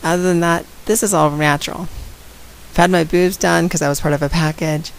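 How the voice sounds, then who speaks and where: A woman with a slight head cold and a raspy voice, talking casually and outspokenly straight to her viewers in a vlog. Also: on the low side, steady tone, brisk, low-quality recording. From San Antonio, Texas. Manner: outspoken, direct, addresses viewers. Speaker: vlogger. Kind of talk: casual talk to camera.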